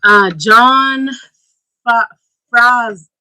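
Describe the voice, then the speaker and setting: Bright-voiced chatty woman host. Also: a little high, very slow, poor audio. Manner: chatty, greets viewers by name. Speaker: co-host of a toy livestream. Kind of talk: casual livestream chat. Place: home toy museum room. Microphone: camera-mounted mic.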